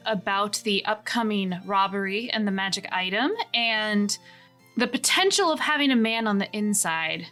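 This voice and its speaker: A woman's voice: medium pitch, fairly bright tone. A woman running a tabletop game who recaps the story and chats casually with her players, laughing easily. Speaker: game master. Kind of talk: recap narration and casual play.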